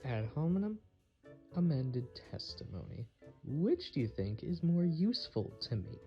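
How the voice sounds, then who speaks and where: A man's voice: a little high, very animated, a little slow, muffled recording, soft background music. A man playing a clever, confident defense attorney in an audio drama, pointed and theatrical in delivery. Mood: measured. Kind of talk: amateur audio drama acting.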